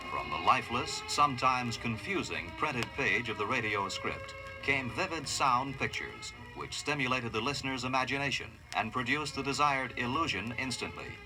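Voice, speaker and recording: An American man narrating a scripted radio history documentary in a formal, measured, dramatic way. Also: medium pitch, expressive, music in the background, low-quality recording.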